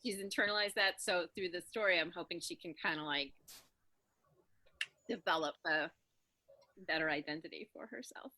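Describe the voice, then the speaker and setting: A woman's voice: on the low side, moderate pace, pauses often, pushed and bright. A woman talking casually on a video call, rambling informally and repeating words as she works out ideas. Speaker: voice actor in a serialized audio drama.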